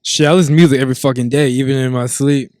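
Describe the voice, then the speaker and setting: A man's voice: medium pitch, fairly even intonation, very fast, a little mellow, no room sound, clean studio sound. A man guest who DJs, talking casually on a podcast in an emphatic, profane, repetitive way.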